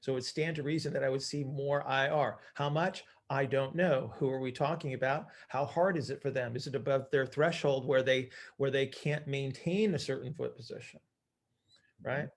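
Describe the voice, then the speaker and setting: A man's voice: medium pitch, fairly even intonation, normal speed. A man leading an informal group call as coach, teaching emphatically by posing questions and answering them himself. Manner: emphatic, asks and answers own questions, often checks with "right?".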